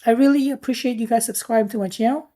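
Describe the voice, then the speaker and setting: A woman with a distinctly mellow, soft-edged tone and a slightly high voice. She talks through a product casually, as a reviewer would, in plain and informal terms. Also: fast talker. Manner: informal, plain-spoken explaining.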